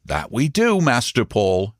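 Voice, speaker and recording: A man's voice: mid-range voice, big pitch swings, a little slow, no room sound, clean studio sound, voice pushed up. A man voicing a deferential, devoted male servant in a storybook reading, speaking respectfully.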